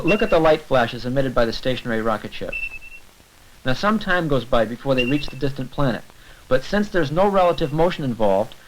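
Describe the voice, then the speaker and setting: A man's voice: mid-range voice, slight background noise, poor audio. A man giving scripted educational film narration, explaining things step by step and addressing the viewer directly.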